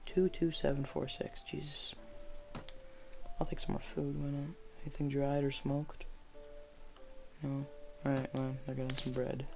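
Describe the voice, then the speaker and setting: Gravelly man streaming a game. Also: completely flat delivery, a little slow, pauses often, dark tone. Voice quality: low voice. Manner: chatty, reads game dialogue aloud. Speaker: gaming streamer. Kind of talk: casual let's-play commentary. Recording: game music underneath.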